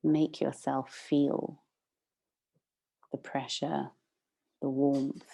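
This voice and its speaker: A woman meditation teacher leading a guided practice, instructing gently and unhurriedly with long pauses. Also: rumbling low, level tone, gravelly, slightly rough.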